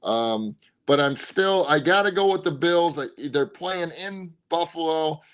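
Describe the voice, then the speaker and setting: A man co-hosting a sports podcast, giving his picks in casual chat, animated and stressing points with a dropped voice. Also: unhurried, clean tone. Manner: animated, drops pitch to stress points, frequent restarts.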